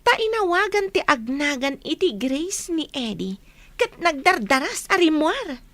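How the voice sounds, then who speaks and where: A woman's voice: high-pitched, big pitch swings, a little slow, a bit bright, dry recording, heavily acted. A woman acting in a radio drama as a scheming young mistress, pouty and gloating.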